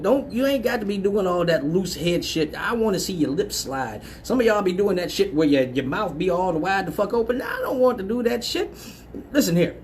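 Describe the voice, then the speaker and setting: Male host, high pitch, blunt and profane, laughs through his own jokes, restarts lines. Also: slight background noise. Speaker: host of a live call-in advice show. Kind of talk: crude casual advice talk.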